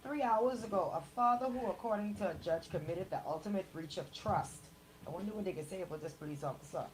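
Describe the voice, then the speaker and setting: A woman's voice: mid-range voice, fast talker, a little hiss or hum, poor audio. A woman with a Caribbean accent co-hosting a casual radio discussion in a blunt, sardonic manner. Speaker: radio host.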